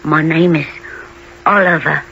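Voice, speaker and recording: A frail, polite orphan boy in a radio play, high and bright. He speaks hesitantly, on a dull recording with faint noise. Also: fairly even intonation, unhurried, vocal fry, full character voice. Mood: weak, polite. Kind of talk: radio drama acting.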